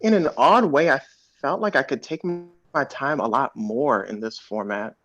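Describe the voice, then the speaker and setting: A man, an actor, reflecting thoughtfully and casually in measured phrases on a dry, dull online call. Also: mid-range voice, fairly bright tone. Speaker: actor on a panel. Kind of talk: casual reflective panel talk.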